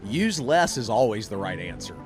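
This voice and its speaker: This man has a slightly low voice and speaks about his business plainly and confidently. Clear music plays behind him. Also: big pitch swings, normal speed. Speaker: business owner. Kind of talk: promotional testimonial.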